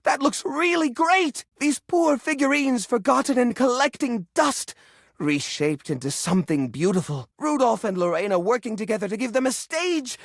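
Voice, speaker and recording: Game role: living junk drawer, quirky earnest hoarder, highly animated delivery, dry studio sound. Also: male voice, moderate pace, no room sound, full character voice. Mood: delighted, inspired. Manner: expressive, earnest, fussy musing. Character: quirky, sentimental male junk drawer personified, fussy hoarder. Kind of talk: video game character acting.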